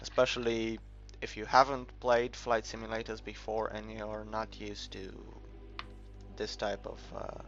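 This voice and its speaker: A man's voice: on the low side, monotone, a little slow, noisy background. A man from the UK giving a casual, unscripted game walkthrough, rambling and hesitant as he thinks aloud. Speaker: gamer making a game tutorial. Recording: muffled, dull recording with background music.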